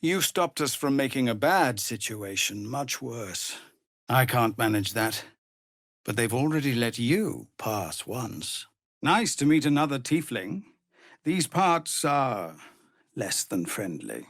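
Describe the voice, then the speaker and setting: A dignified middle-aged tiefling veteran leading refugees in a fantasy game. He speaks slowly and gravely, with formal, measured phrasing. The recording is close and dry. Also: medium pitch, big pitch swings, fairly bright tone, dry recording, heavily acted. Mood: courteous, weary. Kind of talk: video game character dialogue.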